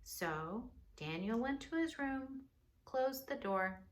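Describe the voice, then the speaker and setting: A woman reading a children's storybook aloud expressively, with dramatic pauses and chatty asides to her listeners. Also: on the high side, expressive, a little hiss or hum.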